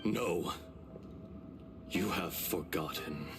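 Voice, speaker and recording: An actor playing a calm, solemn ancient dragon spirit in human form, speaking gravely and formally in a video game. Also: male voice, sits low, over loud music. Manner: measured, grave, formal explaining. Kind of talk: dramatic video game voice acting.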